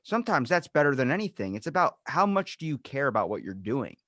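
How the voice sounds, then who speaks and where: A man's voice: medium pitch, sing-song, fast, fairly bright tone, no room sound, studio quality. Blunt, swearing young man ranting. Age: 20s. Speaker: podcast host. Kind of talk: casual podcast chat.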